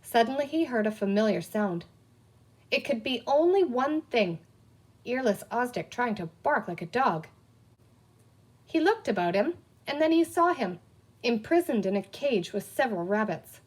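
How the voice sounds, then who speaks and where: A woman narrates a children's storybook in a fairly high voice. She reads the scripted text expressively, as an audiobook reader. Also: expressive, moderate pace.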